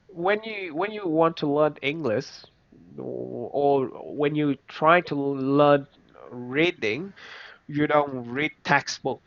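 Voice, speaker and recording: A young man from Vietnam with a Vietnamese accent, speaking English as a second language in a casual interview, halting, with many restarts. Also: mid-range voice, a bit bright, no room sound. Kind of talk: casual reflective chat. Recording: slightly dull, dry recording.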